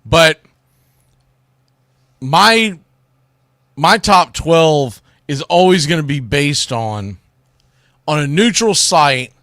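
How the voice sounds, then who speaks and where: A man's voice: fairly high pitch, animated, drawn-out words, pauses often, fairly bright tone, no room sound, studio quality. A man hosting a solo sports talk show, giving commentary in an informal, opinionated way.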